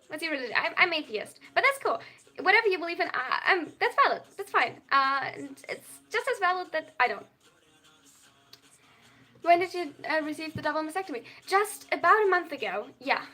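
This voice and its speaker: A young adult, reading viewer chat aloud on a livestream and answering at length. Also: female voice, very high-pitched, bright, forceful tone. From Germany. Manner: reads viewer chat aloud and answers, tends to over-explain. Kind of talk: casual live-stream chat.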